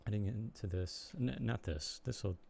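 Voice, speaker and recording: Young man, Chicago accent, low mellow voice, rambling live-stream chat. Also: creaky, slight background noise. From Chicago area, United States. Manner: rambling, halting, lots of ums and restarts. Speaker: streamer and blogger.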